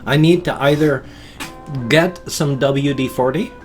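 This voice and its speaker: A man's voice: on the high side, unhurried, over music. Folksy, nasal older man, stuffy-sounding. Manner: folksy, chatty, meandering. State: congested, a little wheezy. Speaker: vlog host. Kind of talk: rambling casual morning vlog. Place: small home room at a desk.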